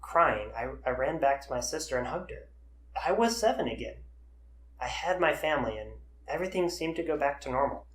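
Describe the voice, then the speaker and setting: A man playing an earnest, haunted man in his early twenties who tells his eerie story haltingly in an audio drama. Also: a little high, expressive, a bit bright. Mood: wistful. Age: young adult. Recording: roomy, echoey voice recording.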